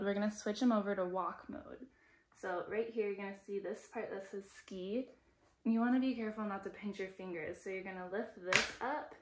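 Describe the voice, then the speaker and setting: A woman's voice: medium pitch, fairly bright tone. A young woman talking casually and step by step as she demonstrates how her ski gear works. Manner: friendly, explains step by step while handling gear. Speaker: outdoor gear vlogger.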